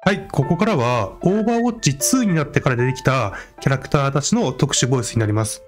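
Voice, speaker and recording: A man's voice: medium pitch, expressive, fast talker, faint music behind. A man giving casual explanatory narration for a game video.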